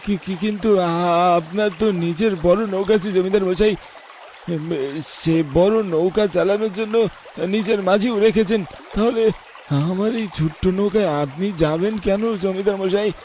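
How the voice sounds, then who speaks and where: A poor, timid village boatman in a horror cartoon. His voice is high and anxious, deferential and prone to stammering. There is faint noise of water behind him. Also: unhurried, a little mellow. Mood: nervous, deferential. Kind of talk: Bengali horror cartoon voice acting.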